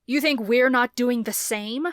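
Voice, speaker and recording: A woman voicing a scornful middle-aged father who speaks slowly and cuttingly. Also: mid-range voice, expressive, dry recording, studio quality, natural voice. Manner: snarling, slow and cutting.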